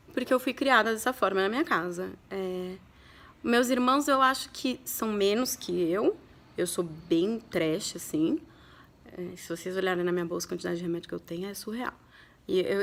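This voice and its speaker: Woman in her thirties, from Brazil; candid, reflective vlogger telling a family story with frequent pauses. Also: medium pitch. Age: 30s. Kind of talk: personal storytelling to camera.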